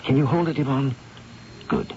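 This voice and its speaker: An actor playing a troubled, obsessive painter in a radio mystery drama, speaking with theatrical intensity and hesitant repetitions. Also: male voice, mid-range voice, sing-song, moderate pace, background noise, close to an everyday voice. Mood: awed, absorbed. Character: troubled, obsessive male painter, fearful and awestruck. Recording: muffled vintage radio broadcast, music underneath.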